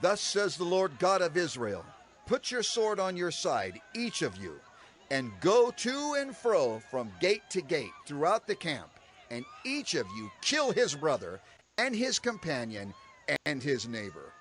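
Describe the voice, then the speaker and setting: An actor playing a fervent prophet and leader in a scripture audio drama, declaiming with impassioned intensity. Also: male voice, a little high, huge pitch swings, unhurried, fairly bright tone, exaggerated voice. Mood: commanding. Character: Moses, fervent male prophet and leader of his people. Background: music.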